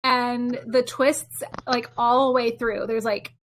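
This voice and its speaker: High-voiced woman chatting about games. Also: level tone, slow pace, low-quality recording. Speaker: podcast panelist. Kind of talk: casual chat about video games.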